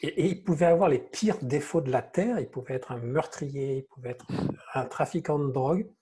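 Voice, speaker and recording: A man's voice: medium pitch, fairly quick, warm tone. A man who writes audio fiction, reflecting casually in a live online talk, hesitating and repeating words as he works out his thought.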